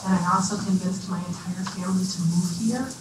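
A woman business development consultant introducing her program on a panel in a measured, friendly way. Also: fairly low pitch, fairly even intonation. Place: large conference hall with audience. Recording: echoey hall from far off, room noise.

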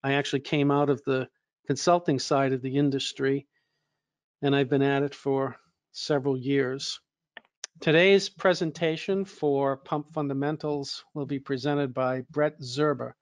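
A man moderating an online training webinar, slightly hoarse, talking in a relaxed, conversational way with fillers and restarts. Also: medium pitch, a little slow.

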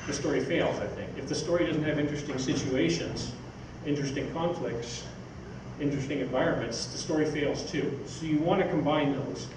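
An older man giving a public talk in a reflective, conversational way, pausing to think as he goes. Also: fairly high pitch. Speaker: guest speaker. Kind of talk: public talk with audience questions. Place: small theatre stage, indoors. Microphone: distant camera microphone. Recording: very echoey, noisy hall from far off.

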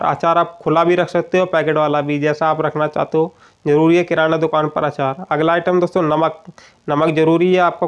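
A man reads out a list in a voice-over. His voice is slightly high and smooth, with little rise and fall. He talks in an even, list-like way and keeps calling his viewers friends. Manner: steady list-like delivery, repeats "friends" addressing viewers. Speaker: grocery shop advice presenter. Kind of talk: voice-over listing shop items.

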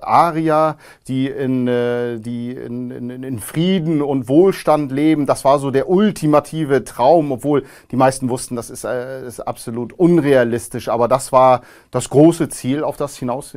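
Calm man answering studio interview questions. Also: medium pitch. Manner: calm, measured, frequent hesitations. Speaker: interview guest, former neo-Nazi. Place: studio with grey backdrop.

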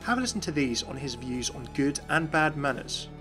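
A man's voice: fairly high pitch, animated, moderate pace, music in the background. A man hosting a game lore video, talking through voice lines in a chatty, enthusiastic voice-over. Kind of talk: scripted commentary voice-over.

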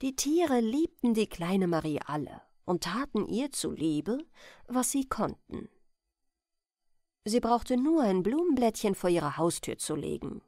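Slow, animated woman reading fairy tales. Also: mid-range voice, no echo, clean studio sound. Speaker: storyteller. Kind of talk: bedtime fairy-tale reading, scripted narration.

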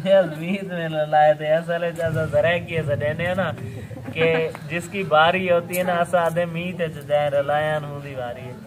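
A man's voice: on the high side, slow pace, background noise. A young man from Pakistan hosting a casual village vlog outdoors, chatty and laughing often as he talks to his viewers. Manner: chatty, laughs often, keeps saying "okay". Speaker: vlog host.

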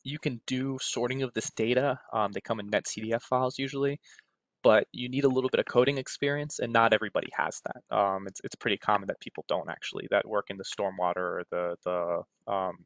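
A man's voice: on the low side, steady tone, fairly quick, fairly bright tone. A man with an American accent gives an informal technical webinar presentation in a conversational way, often checking in with "right?". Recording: roomy, dull lo-fi webinar audio.

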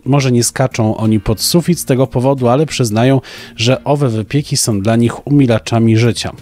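A man's voice: mid-range voice, moderate pace, darkish tone. A young man co-hosting a podcast, presenting a prepared book review. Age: young adult. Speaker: podcast co-host reviewing books. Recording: music playing behind the voice. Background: background music.

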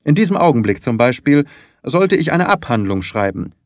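A man's voice: medium pitch, big pitch swings, normal speed, dry recording, studio quality. A man voicing a stern, indignant doctor in an audiobook, brusque and haughty in manner. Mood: scornful. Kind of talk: audiobook character acting.

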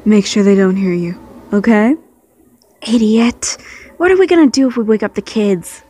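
A woman's voice: medium pitch, moderate pace, mellow, natural voice. A woman voicing fond, easily flustered young men with a sighing, mildly exasperated manner in an amateur comic dub.

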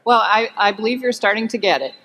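A shareholder asking a question from the audience, phrasing it slowly and deliberately. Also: medium pitch, expressive, pushed and bright, big-room sound.

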